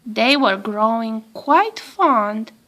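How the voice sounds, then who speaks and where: A woman reads a children's storybook aloud in a high voice. She takes her time, very unhurried, and shapes each phrase expressively for young listeners. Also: animated, pushed and bright. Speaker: storybook reader, a mother.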